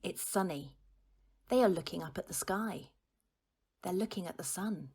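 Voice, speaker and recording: A woman playing a patient mother in an audio drama, gently and hesitantly explaining things to her child. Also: fairly low pitch, moderate pace, husky. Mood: gentle.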